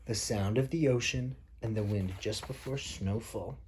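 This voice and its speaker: A man's voice: lowish voice, moderate pace, a little mellow. A man reading a picture book aloud to a child as a father, explaining things simply along the way. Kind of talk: picture book read-aloud with casual asides. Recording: faint background noise.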